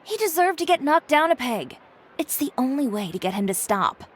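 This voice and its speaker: A woman's voice: sits high, very animated, moderate pace. A woman voicing a composed, self-assured female fashion designer who talks in a cool, dryly teasing way.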